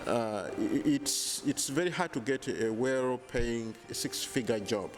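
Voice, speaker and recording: A man in his thirties with a Ugandan accent, answering interview questions in an earnest, measured way, held mic in a hotel lounge. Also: medium pitch, over music. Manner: earnest, measured, frequent restarts. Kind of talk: casual interview. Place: bright hotel lounge indoors. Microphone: handheld lapel mic.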